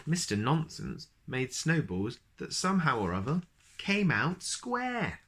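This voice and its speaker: A man reading children's storybooks aloud as host, expressive and speaking directly to young listeners. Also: fairly high pitch, unhurried.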